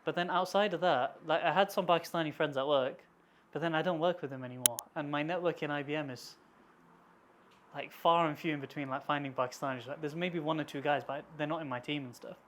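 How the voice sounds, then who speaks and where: A woman hosting a casual podcast chat, animated and slangy, laughing often. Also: low voice, fast, slight background noise. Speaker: podcast host.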